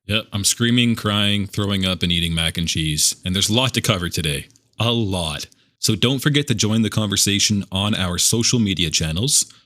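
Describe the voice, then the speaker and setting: A man's voice: on the low side, normal speed, fairly bright tone, no echo. A man hosting a fan podcast, opening with an upbeat scripted welcome and dry jokes. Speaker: podcast host. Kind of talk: scripted podcast intro and casual chat.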